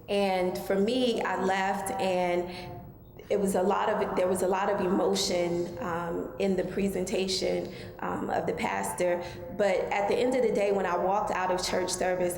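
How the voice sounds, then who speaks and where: A woman from Maryland telling her personal story as a guest speaker, soft-spoken and hesitant, with many pauses. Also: on the low side, vocal fry. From Maryland, USA. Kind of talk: personal testimony talk. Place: mosque hall. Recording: echoey hall, faint hum.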